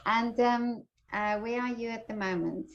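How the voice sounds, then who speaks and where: A woman's voice: on the high side, fairly even intonation, a little slow, dull audio, a little hiss or hum. A woman interviewing a guest over a video call, hesitant and friendly, with many fillers.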